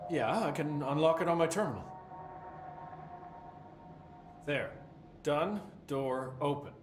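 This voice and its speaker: Man playing world-weary male bureaucrat, sardonic, game dialogue, lively pitch swings, echoey room. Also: normal speed, over music, lightly acted. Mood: businesslike. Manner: deadpan, sardonic. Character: dry, world-weary male government containment supervisor. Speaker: prison-like containment supervisor. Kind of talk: video game voice acting. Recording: roomy echo, faint background noise.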